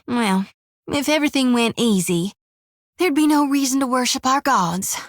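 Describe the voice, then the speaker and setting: A woman's voice: fairly high pitch, dry recording. An actress voicing a young woman priestess in a video game, earnest and lovesick, speaking with folksy country turns of phrase in a dry studio recording.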